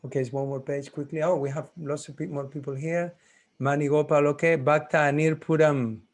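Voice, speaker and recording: A man teaching a class on a video call, taking roll in a friendly, halting way, thinking aloud as he goes. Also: on the high side, flat delivery.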